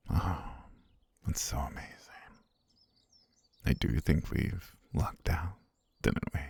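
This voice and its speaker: A man playing an obsessive, genteel butler holding a princess captive, in an intimate, softly menacing ASMR roleplay with a breathy, gravelly, half-whispered delivery. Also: sits low, full character voice.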